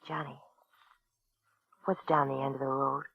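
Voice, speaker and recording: An actress playing a frank, plainspoken young diner waitress, wistfully smitten, in a 1950s radio drama. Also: female voice, extremely low pitch, level tone, unhurried, a little hiss or hum. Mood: knowing, intimate. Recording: very muffled, dry vintage radio recording.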